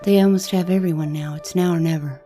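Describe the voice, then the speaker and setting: A woman's voice: lowish voice, fairly even intonation, fast talker, mellow, soft background music, lightly acted. A woman playing a weary, resolute celestial spellcaster in a fantasy audio story, speaking in a terse, dry, determined way.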